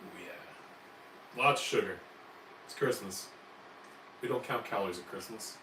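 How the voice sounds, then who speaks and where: Raspy-voiced male cooking host, chatty and upbeat, recorded by a distant mic in an echoey kitchen. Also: fairly low pitch, measured pace, pushed and bright. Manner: upbeat, chatty, explains steps as he cooks. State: raspy, voice cracking after flu. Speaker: home cooking channel host. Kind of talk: live cooking stream chat. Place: home kitchen. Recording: echoey room, distant mic, faint noise. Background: kitchen clatter, hot plate.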